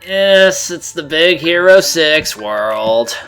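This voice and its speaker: A man's voice: a little high, lively intonation, slow, fairly bright tone. A man chatting casually as co-commentator on a video game playthrough. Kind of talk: casual chat. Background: game music.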